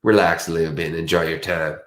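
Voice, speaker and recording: A man's voice: deep voice, little pitch movement, quick pace, a bit bright, a little hiss or hum. A man with a Boston accent, sleepy and chatting in a rambling, casual way as co-host of a true crime stream. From Boston area. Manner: meandering, chatty, restarts often. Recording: roomy echo.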